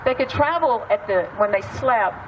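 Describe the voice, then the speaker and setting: A woman's voice: a little high, animated. East Texas woman, far off, traffic. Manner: earnest, halting, many restarts. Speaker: storyteller and speaker. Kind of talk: opening prayer and storytelling talk. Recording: distant muffled mic, loud traffic outside.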